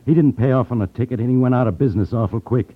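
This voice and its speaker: A man's voice: medium pitch, rapid-fire, lightly acted. An actor playing a tough, slangy henchman with a blunt manner in a 1950s radio crime drama. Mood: blunt warning. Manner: slangy, blunt, matter-of-fact. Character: tough, blunt male henchman to a racket boss. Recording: tinny, muffled 1950s radio recording.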